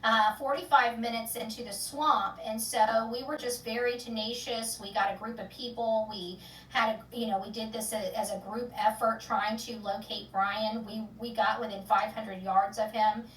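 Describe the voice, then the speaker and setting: A woman's voice: a little high, fairly even intonation, moderate pace, hardly any pauses, roomy sound, distant mic, slight background noise, low-quality recording. A woman hosting an online true-crime channel, rambling casually with many fillers and restarts before an upbeat sign-off. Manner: loud, upbeat sign-off catchphrases. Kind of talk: casual chat, sign-off to viewers.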